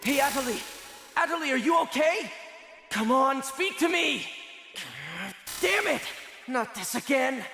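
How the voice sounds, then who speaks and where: An actor voicing a brash young hero who shouts urgently for a friend. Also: male voice, extremely high pitch, animated, a little slow, long pauses, big-room sound, soft background music, heavily acted. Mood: desperate.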